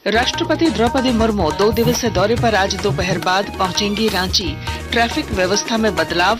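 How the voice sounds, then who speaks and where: A woman news reader delivering a scripted radio bulletin in a formal, measured announcing manner. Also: medium pitch.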